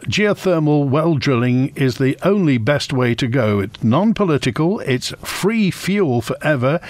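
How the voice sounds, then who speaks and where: Radio host guy, chatty, thinking out loud, mid-pitched voice. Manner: conversational, musing aloud, reading listener messages. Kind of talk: radio talk show hosting. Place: radio studio.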